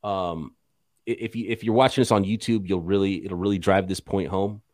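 A man co-hosting a sports podcast, talking casually with an animated, joking delivery. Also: deep voice, moderate pace, no echo, studio quality.

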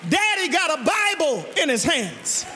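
A man voicing an excited young daughter who stammers as she calls out that her father has come home. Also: very high-pitched, unhurried, in a large hall, a faint crowd, full character voice. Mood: amazed.

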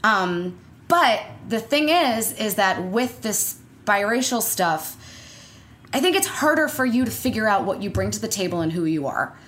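A woman's voice: mid-range voice, animated, normal speed, fairly bright tone. A woman in her twenties, an actor and screenwriter, chatting as an interview guest with lots of restarts and small laughs. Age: 20s. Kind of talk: casual interview chat.

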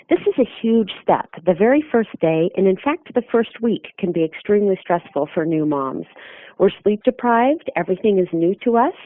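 A woman, a lactation consultant giving steady, instructional advice in a scripted segment. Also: lowish voice, no echo, narrow, tinny audio.

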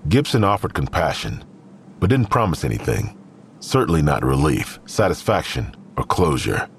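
A man's voice: rumbling low, very animated, normal speed, a little hiss or hum. A man narrating a scripted audio drama in a grave, literary storytelling manner.

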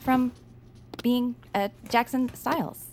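An actress playing a nervous, apologetic, awkward female novice radio host who stammers and giggles through halting speech in a comedy audio drama. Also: fairly high pitch, fairly even intonation, a little mellow, slight background noise. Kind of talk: audio fiction comedy acting.

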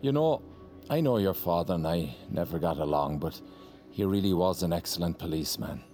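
A man's voice: low-pitched, lively intonation, brisk, mellow, soft background music. An actor playing a smug older ex-policeman who talks down to a younger woman. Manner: condescending. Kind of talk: audio drama acting.

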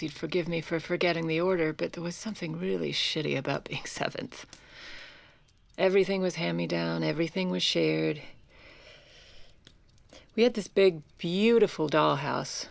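A woman's voice: sits low, steady tone, normal speed, gravelly, no echo. An actress playing a sardonic, middle-aged First Lady in audio fiction, wry and politely barbed. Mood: bitter reminiscing. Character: sardonic, steely Virginian First Lady, scheming and charming. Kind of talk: audio fiction acting with first-person narration.

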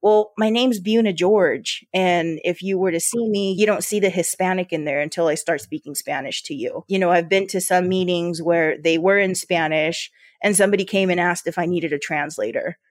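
A woman's voice: on the low side, normal speed, no room sound, studio quality. An American woman chatting informally as an interview guest, telling personal anecdotes in a conversational way. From Yuma, Arizona, US. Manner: chatty, informal, often quotes dialogue. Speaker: interview guest, port authority leader.

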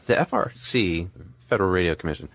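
A man with a deep voice speaks as a podcast co-host. His delivery is conversational, assembling explanations as he talks. The recording is dry and free of room sound. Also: fast talker, a bit bright, dry recording.

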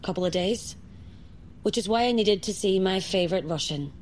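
A woman's voice: medium pitch, moderate pace, a little hiss or hum, lightly acted. Actress as a steely, menacing escaped convict woman. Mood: cold, demanding. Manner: curt, controlled, menacing. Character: steely vengeful escaped female convict calling in a debt. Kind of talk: audio drama acting.

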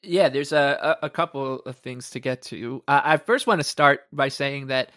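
A man's voice: medium pitch, moderate pace, a bit bright, no echo, clean studio sound. A man co-hosting a sports podcast, talking casually and informally with plenty of opinion. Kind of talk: casual hockey discussion.

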